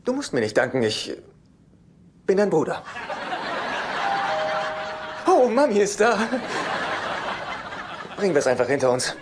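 Flustered sitcom little brother, kinda high voice, stammers and sucks up, bit of noise behind. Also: male voice, sing-song, a little slow, long pauses. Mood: evasive. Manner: hesitant, stammering, ingratiating. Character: fawning, flustered adult son and younger brother. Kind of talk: German sitcom dub acting.

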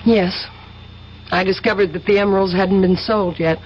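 An actress playing a self-possessed, scheming young woman with measured, evasive speech in a 1970s radio drama. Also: mid-range voice, steady tone, lightly acted. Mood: composed. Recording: noisy, muffled old radio recording.

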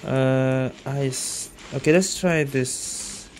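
A woman with a very low voice comments casually on a video game she is playing. She thinks aloud and reacts in short exclamations, with prominent game music behind her. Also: drawn-out words, darkish tone, a little hiss or hum. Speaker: gaming streamer.

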